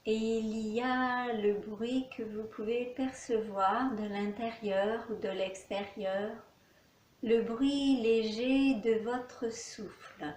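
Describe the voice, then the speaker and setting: Very slow-speaking woman guiding relaxation. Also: fairly high pitch, a little hiss or hum. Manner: calm, soothing, unhurried, with long pauses. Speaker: yoga nidra teacher. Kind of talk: guided relaxation, calm spoken instructions. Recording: echoey, reverberant room.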